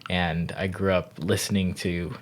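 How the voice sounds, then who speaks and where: A man's voice: deep voice, level tone. A man in his thirties from Barbados, a yoga teacher talking unhurriedly and thoughtfully as a podcast guest. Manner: unhurried, thoughtful, frequent "you know". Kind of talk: reflective podcast interview.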